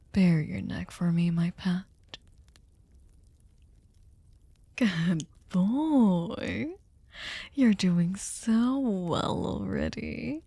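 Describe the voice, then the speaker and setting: Woman playing a slow, breathy, possessive vampire mistress. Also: medium pitch, warm tone, no room sound, exaggerated voice. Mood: predatory, seductive. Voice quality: breathy, often whispering. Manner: slow, deliberate, commanding, condescendingly tender. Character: sadistic, possessive, seductive female vampire mistress. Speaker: ASMR voice performer. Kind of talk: ASMR roleplay, slow intimate monologue. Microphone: close studio microphone, dry.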